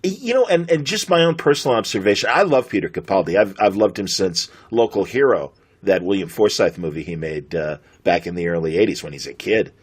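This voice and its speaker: A man's voice: low voice, expressive, a little fast, few pauses, slightly rough. A middle-aged American man hosting a podcast, chatting casually and enthusiastically as an interviewer. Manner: enthusiastic, fannish.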